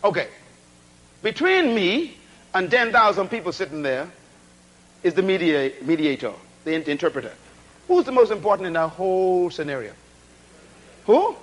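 A man preaching a sermon, calling on the congregation for responses and driving points home through repetition and rhetorical questions, often dropping to low asides between loud bursts. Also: big pitch swings, moderate pace, pauses often, rough recording. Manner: swings between shouted emphasis and low asides.

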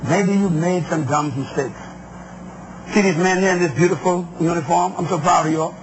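A male preacher with a high-pitched voice delivers an impassioned sermon in a declamatory style. He drives points home in short, repeated phrases and puts questions to his congregation. The live recording is heavily covered in noise. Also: normal speed. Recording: very noisy live speech recording.

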